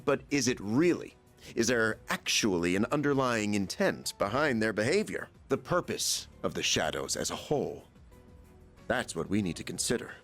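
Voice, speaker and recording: A man's voice: lowish voice, fairly bright tone. An actor playing an eager middle-aged male chairman and researcher who lectures his team at length. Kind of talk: video game voice acting.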